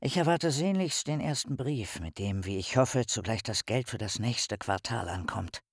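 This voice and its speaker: An actor playing an eccentric, bookish young philosopher with a wry, self-important theatrical manner in a radio play. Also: male voice, mid-range voice, lively intonation, normal speed, husky. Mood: eager. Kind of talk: dramatic reading of letters in radio play.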